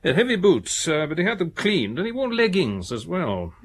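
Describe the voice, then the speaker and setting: An actor playing a methodical, earnest police detective in a British radio drama, speaking in a careful, matter-of-fact way. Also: male voice, on the high side, wildly swooping pitch, normal speed, slightly rough, full character voice. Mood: explaining, earnest.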